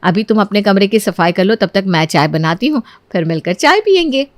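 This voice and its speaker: A woman's voice: mid-range voice, lively intonation, brisk, no room sound, lightly acted. A woman voicing an anxious, coaxing sister-in-law in a cartoon moral story, with a pleading, exclaiming manner.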